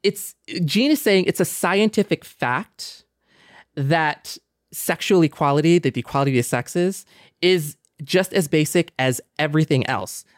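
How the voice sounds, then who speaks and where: A man's voice: on the high side, measured pace, dry recording, clean studio sound. A man hosting a podcast in a casual, reflective discussion, thinking aloud in an earnest way. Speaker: podcast host.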